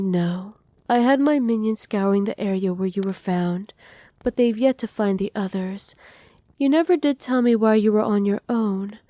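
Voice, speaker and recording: A woman playing a doting, possessive witch in an ASMR roleplay, speaking softly with teasing, condescending affection. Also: mid-range voice, dry recording, lightly acted. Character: doting, possessive witch mistress pampering her captive pet. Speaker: voice actor.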